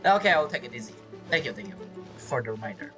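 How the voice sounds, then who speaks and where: A woman's voice: rumbling low, big pitch swings, fast. A woman giving casual video game playthrough commentary, rambling and thinking aloud with questions to herself. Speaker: gamer, video creator. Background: game music.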